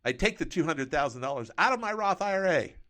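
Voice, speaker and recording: A man's voice: a little high, animated, fast talker, bright, forceful tone. Man patiently explaining tax rules. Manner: explains with first-person hypothetical examples. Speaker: financial advisor.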